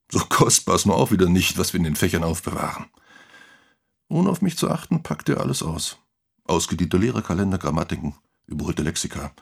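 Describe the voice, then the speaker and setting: Fussy sceptical teacher, raspy low voice that swings about, dismissive, radio play. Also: male voice, full character voice. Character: sceptical, fussy male teacher colleague, mildly indignant. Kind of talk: radio drama monologue.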